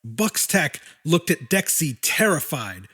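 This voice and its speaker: High-voiced man narrating dramatic fiction slowly. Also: very animated, bright, forceful tone, slightly rough. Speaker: audiobook narrator.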